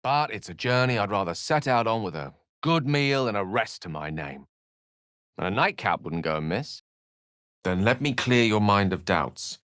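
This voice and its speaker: Casting: wry, bookish wizard for a fantasy game; lowish pitch, very expressive range, articulate, theatrical, recorded dry. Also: male voice, moderate pace, clear, resonant tone, no echo. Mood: measured, wry. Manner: articulate, theatrical, verbose phrasing. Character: eloquent, wry, scholarly male wizard adventurer. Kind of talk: fantasy video game voice acting.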